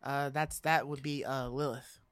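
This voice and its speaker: A man's voice: medium pitch, level tone, a little slow, fairly bright tone, clear, resonant tone, no room sound. A man co-hosting a podcast, talking casually and informally with slang and some mild swearing. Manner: informal, slangy, mildly profane. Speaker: podcast co-host. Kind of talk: casual chat about video game movies.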